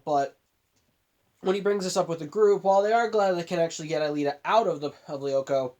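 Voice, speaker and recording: A man with a cold and a nasal voice, casually recapping a cartoon episode for his vlog, rambling with frequent restarts and self-corrections. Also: a little high. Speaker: show reviewer.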